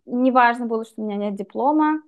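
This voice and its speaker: A woman's voice: fairly high pitch, quick pace. A young woman from Russia telling her own story as a guest over a video call, candid and reflective. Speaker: guest, English teacher. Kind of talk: personal story in interview. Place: dim room indoors. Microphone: video call webcam.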